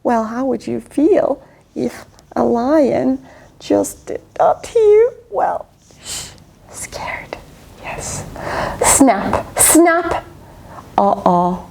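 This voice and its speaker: A woman reading a picture book aloud to children, expressive and swooping, with lots of exclamations. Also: a little high, very slow, gravelly, pauses often. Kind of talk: read-aloud storytelling to children.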